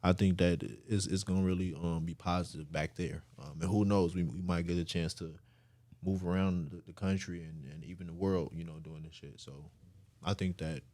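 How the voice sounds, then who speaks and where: A man's voice: sits low, fairly even intonation, moderate pace, dark tone, dry recording. A man in his twenties, co-hosting a podcast in a laid-back, slangy way with plenty of fillers and swearing. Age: 20s. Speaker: podcast co-host. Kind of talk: casual podcast chat.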